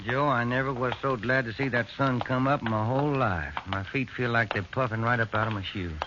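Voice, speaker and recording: An American actor playing a tired, folksy police partner given to grumbling, in a conversational 1950s radio drama style. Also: male voice, mid-range voice, fairly quick, natural voice. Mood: weary grumbling. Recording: muffled, noisy old radio recording.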